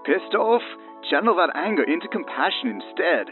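An over-eager male voice in a spoof radio advert. It is high and wildly animated, peppy and exaggerated, through a thin, phone-like playback. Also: normal speed, over music. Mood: chirpy, earnest. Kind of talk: parody radio advert acting.

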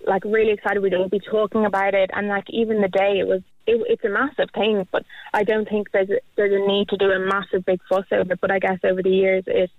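A woman's voice: mid-range voice, level tone, fast, hardly any pauses, no echo. Young woman on a phone line. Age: 20s. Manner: hesitant, many restarts and "like". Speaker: guest.

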